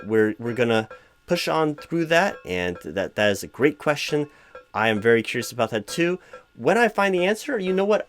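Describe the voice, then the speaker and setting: Man playing flustered, low-voiced corporate hiring manager. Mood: uneasy, apologetic. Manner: halting, stammering, easily sidetracked, apologetic. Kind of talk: comedic one-sided job interview roleplay.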